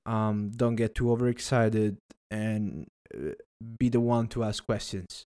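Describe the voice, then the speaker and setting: A man's voice: on the low side, unhurried, dark tone, smooth. A man whose first language is Italian, hosting a podcast and chatting casually with a guest, friendly and conversational, with fillers and small laughs. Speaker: podcast host. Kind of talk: casual interview chat.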